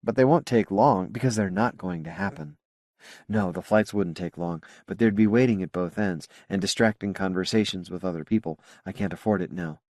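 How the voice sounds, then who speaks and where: A man voicing a determined, self-assured woman scientist in an audiobook, explaining things in a measured, patient way. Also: deep voice, darkish tone, no room sound, clean studio sound, lightly acted. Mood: firm, impatient.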